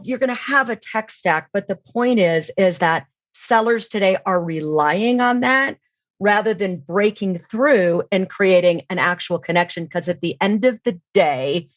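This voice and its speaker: Middle aged lady as podcast guest expert, assured and emphatic, average pitch, no room echo. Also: measured pace. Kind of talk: podcast interview, explanatory.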